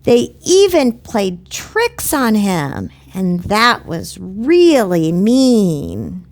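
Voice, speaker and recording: Very slow, lively-pitched woman talking to children. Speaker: children's show host. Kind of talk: explaining a lesson simply to children.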